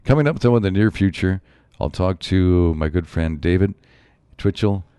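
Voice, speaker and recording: A man hosting his own show in a rambling solo monologue, his voice a bit raspy from a slight cold. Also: deep voice, normal speed, dark tone, no echo. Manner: rambling, musing, frequent asides. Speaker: show host.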